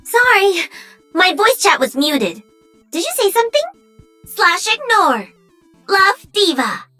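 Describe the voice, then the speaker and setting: A woman's voice: very high voice, big pitch swings, slow, bright, forceful tone, soft background music, exaggerated voice. A woman voicing a perky, cocky young female pro-gamer mech pilot for a video game. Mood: sarcastic. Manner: bubbly, cocky, teasing.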